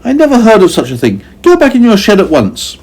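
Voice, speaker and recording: A man voicing a foolish, meddling young man who panics easily and speaks in a shrill, excitable voice. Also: normal speed, slightly rough, exaggerated voice. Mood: alarmed, bossy.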